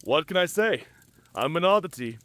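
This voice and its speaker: A man's voice: fairly high pitch, lively intonation, moderate pace, bright, forceful tone. A man playing a wounded, wry, talkative werewolf in human form, theatrical and bantering, in an audio drama. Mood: amused, teasing. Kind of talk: cinematic audio roleplay acting.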